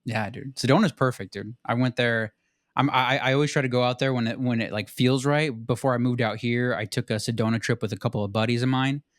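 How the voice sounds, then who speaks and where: A man's voice: medium pitch, fairly even intonation, brisk, no room sound. Laid-back man saying "dude", dry sound. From southern Arizona. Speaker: podcast host. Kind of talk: casual chat.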